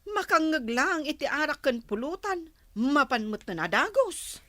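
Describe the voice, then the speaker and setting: A woman's voice: sits high, big pitch swings, moderate pace, a bit bright, no echo. A woman playing a weary, complaining wife and mother with a Filipino accent, plaintive in a radio drama. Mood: exasperated.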